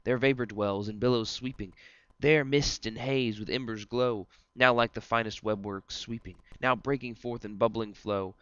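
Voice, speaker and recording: A man plays a boisterous, commanding devil who guides climbers through verse drama. The delivery is theatrical and declamatory, with a bright, forceful tone in a dry recording. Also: mid-range voice, steady tone, normal speed, smooth, dry recording, muffled recording, close to an everyday voice. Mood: wondering. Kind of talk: amateur dramatic reading of verse.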